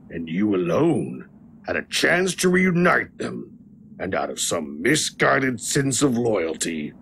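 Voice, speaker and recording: An actor playing a sly chained prisoner in an audio drama, a gravelly tempter who bargains in a taunting, persuasive way. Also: male voice, on the low side, a bit bright, slight background noise, full character voice.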